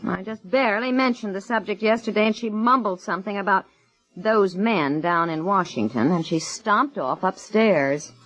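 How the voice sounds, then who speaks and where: A woman's voice: medium pitch, moderate pace, fairly bright tone, lightly acted. A woman playing a chatty, flustered housewife in a 1960s radio domestic comedy. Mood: flustered protest. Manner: wifely, protesting, rambling. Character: flustered, chatty housewife busy spring cleaning. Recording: dull old radio show recording.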